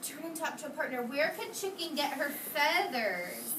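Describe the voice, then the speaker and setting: A woman with a high-pitched voice works as a kindergarten teacher, reading a story aloud to young children. She keeps stopping to question and encourage them. The sound is roomy and picked up from a distance, with clear classroom noise behind her. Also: expressive, moderate pace. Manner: encouraging, questioning, praising pupils.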